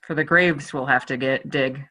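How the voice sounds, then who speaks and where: A woman's voice: rumbling low, fairly even intonation, moderate pace, bright, forceful tone, exaggerated voice. A woman playing a loyal, upright medieval French soldier in a play reading, with emphatic, archaic formal diction. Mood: dry resolve. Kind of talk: stage play table read.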